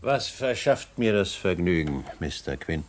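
A man's voice: sits low, big pitch swings, unhurried. An older man playing a retired company director in a radio drama, courteous yet cautious and a little impatient. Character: retired male company director, polite but guarded.

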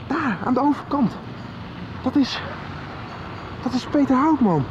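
A jumpy, eager young man, as an actor plays him in a radio play. His pitch jumps around a lot. The recording is dull and lo-fi, with clear street noise around him. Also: very animated, unhurried, full character voice. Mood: excited.

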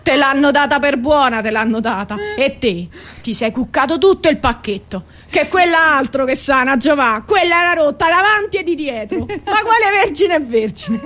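A brash working-class woman, played by an actress, with a high-pitched voice. She is bitter and scornful, swears coarsely and hammers on the same phrases, in the heightened manner of a radio stage monologue. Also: animated, normal speed, a bit bright. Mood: mocking. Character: bitter, brash, foul-mouthed working-class Italian woman ranting at absent lover. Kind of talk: theatrical monologue acting for radio.

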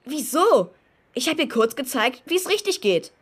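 A woman's voice: high voice, very animated, moderate pace. An actress playing a cheeky, blunt young superhero boy in a children's radio play. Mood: matter-of-fact.

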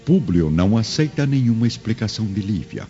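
A man's voice: fairly low pitch, sing-song, brisk. A man narrating a radio drama in a solemn, scripted style. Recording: dull lo-fi recording with music.

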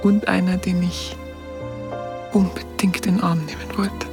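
A smitten storyteller confides softly and breathily. It is an intimate radio play monologue with faint music. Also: female voice, lowish voice, level tone, measured pace. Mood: tender, wistful. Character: tender, smitten woman fondly recalling her soldier lover. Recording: studio radio drama with soft music.

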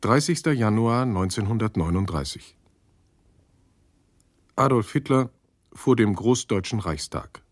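Male documentary narrator, lowish voice, sober and measured, very dry studio sound. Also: lively intonation, dry recording. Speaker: narrator of a radio documentary play.

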